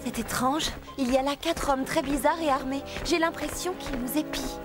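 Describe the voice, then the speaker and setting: A woman's voice: sits high, moderate pace, natural voice. A woman voicing an innocent, curious young cartoon heroine with a wondering, questioning manner. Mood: uneasy. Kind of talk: French cartoon voice acting. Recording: music behind the voice.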